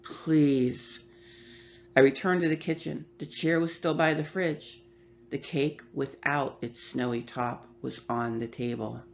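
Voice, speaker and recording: A woman reading a first-person horror story aloud in a measured, sometimes hushed voice. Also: rumbling low, fairly even intonation, vocal fry. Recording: slightly muffled with faint hum.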